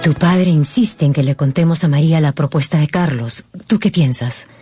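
A tender, probing matriarch played by an actress speaks in a measured, coaxing way. It is middle-aged radio drama acting with music clearly underneath. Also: female voice, low voice, close to an everyday voice. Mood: concerned. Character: gentle, probing mother and aunt of a genteel household.

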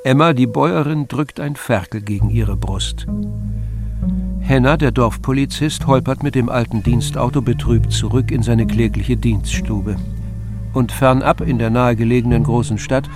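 A man narrating a radio play in a measured, wry storytelling manner. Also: mid-range voice, close to an everyday voice. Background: music.

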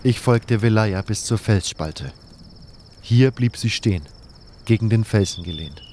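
A man's voice: on the low side, a little slow, a little mellow, slight background noise. A man narrating a scripted first-person story for an audio drama.

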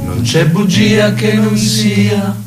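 High-voiced man singing a slow ballad. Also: fairly even intonation. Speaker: singer-songwriter, radio guest. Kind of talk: sung comic love ballad with acoustic guitar. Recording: roomy, loud guitar accompaniment. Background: acoustic guitar.

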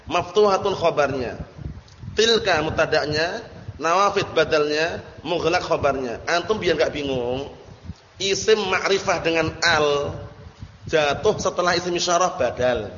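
A man's voice: a little high, fairly bright tone. A man teaching Arabic grammar in class, informally drilling students with repeated questions and frequent checks that they understand. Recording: echoey hall, muffled sound with background noise.

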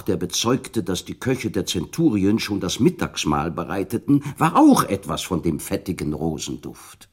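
Deep, husky male radio drama narrator.

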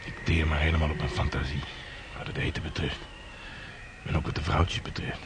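Gruff earthy old seaman, very low, gravelly, radio drama, noisy recording. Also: level tone, fairly quick. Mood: hungry, wry. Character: gruff, lusty, gloomy old sea hand called One-Eye. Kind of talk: Dutch historical radio play acting.

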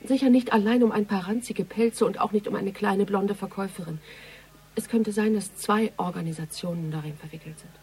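Brooding female investigator, often hushed. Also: medium pitch, steady tone, brisk, slightly rough. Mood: grave, subdued. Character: brooding, sharp-minded female investigator on a case. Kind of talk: radio crime drama acting.

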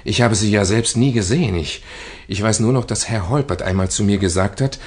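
A man playing a deferential, hesitant house servant in a German radio play, formal and halting, repeating his words. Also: fairly low pitch, husky. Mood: reserved, polite.

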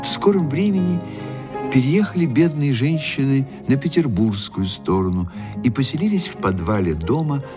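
A man giving dramatic literary narration for a radio play, expressive and theatrical. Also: mid-range voice, moderate pace, music in the background.

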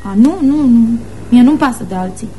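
Woman playing a playful, high-voiced teenage girl in love. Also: expressive, mellow, slight background noise, close to an everyday voice, low-quality recording. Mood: teasing. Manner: teasing, frank, girlish. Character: playful, frank, impulsive schoolgirl of almost eighteen in love. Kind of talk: radio theatre acting.

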